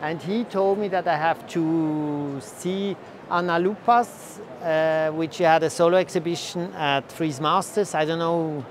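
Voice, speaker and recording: A man's voice: fairly high pitch, steady tone, measured pace. A middle-aged man, an art collector, talking casually during a guided visit. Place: large indoor art fair hall. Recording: faint fair hall noise.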